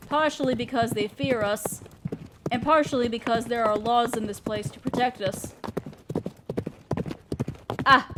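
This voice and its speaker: A woman voicing a kind, gentle female centaur with a soft Southern accent, tending a stranger's wounds and rambling apologetically. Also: high-pitched, background noise. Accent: Southern US, put on. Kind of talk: fantasy audio roleplay.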